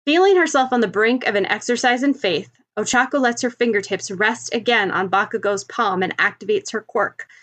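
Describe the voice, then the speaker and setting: A woman narrates a scripted story in the manner of an audiobook. Her voice has a medium pitch for a woman. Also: fairly bright tone. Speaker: podfic narrator.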